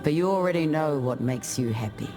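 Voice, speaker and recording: A man voicing an easygoing island warrior in a video game, speaking in a measured, reflective and lyrical way. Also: a little high, expressive, music in the background, lightly acted. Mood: carefree, wistful. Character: easygoing, graceful island warrior spirit who dances through battle. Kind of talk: scripted game character monologue.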